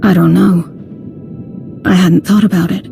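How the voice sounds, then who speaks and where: A woman's voice: lowish voice, fairly even intonation, a little slow, creaky, warm tone. A woman acting a frank, alert and wary adventurer in a video game, speaking directly. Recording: music behind the voice.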